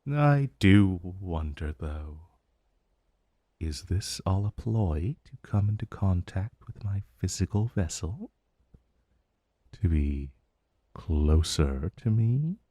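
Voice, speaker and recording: Man voicing a very slow, smug ancient dragon. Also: deep voice, very animated, dark tone, slightly rough, no room sound, clean studio sound, heavily acted. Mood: silky, suspicious. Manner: smug, purring, drawn-out menacing delivery. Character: ancient male dragon familiar, possessive, condescending, teasing, vaguely menacing. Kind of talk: intimate ASMR roleplay, slow and deliberate.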